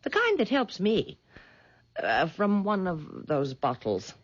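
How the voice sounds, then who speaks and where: An actress playing a frail, superstitious old lady in a radio drama, with a hesitant, coaxing manner and a husky, quavering voice. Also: mid-range voice, wildly swooping pitch, takes it slowly, heavily acted. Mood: flustered, evasive. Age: older. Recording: dull vintage radio recording.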